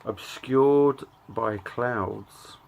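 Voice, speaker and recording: A middle-aged man giving a casual show-and-tell of his collection, talking in a halting, offhand way and reading out titles in short bursts. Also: mid-range voice, creaky, background noise. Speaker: record collector and channel host.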